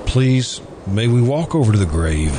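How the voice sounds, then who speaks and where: A man playing a polite, grieving confessed murderer in a hushed, pleading manner, in the style of old-time radio suspense. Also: mid-range voice, very animated, moderate pace, noisy background, natural voice. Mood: subdued, grateful.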